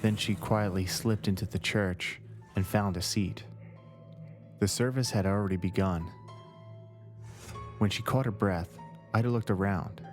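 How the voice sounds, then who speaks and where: A man narrating a scary story from a script in measured, deliberate phrases. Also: on the low side. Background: background music.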